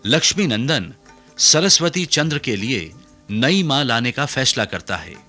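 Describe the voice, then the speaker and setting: A man's voice: medium pitch, sing-song, measured pace, pushed and bright, faint music behind. A man narrating a scripted recap of a radio serial's story.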